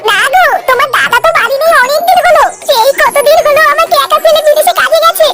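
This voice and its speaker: A woman's voice: very high-pitched, quick pace, over loud music. A woman voicing a coy, flirtatious, lonely married neighbour in a comedy cartoon. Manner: coy, inviting. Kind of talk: Bengali comedy cartoon dubbing.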